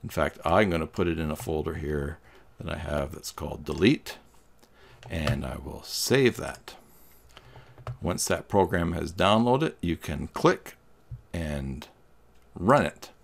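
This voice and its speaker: A middle-aged man giving a step-by-step software tutorial in a plain, instructional manner. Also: a bass voice, lively intonation, slow, vocal fry, long pauses. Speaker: tutorial host. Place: small indoor room with green screen.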